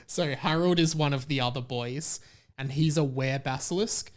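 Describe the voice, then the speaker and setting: A man's voice: medium pitch, normal speed. A man hosting a comedy podcast, chatting casually in a giggly, self-mocking way. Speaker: podcast host.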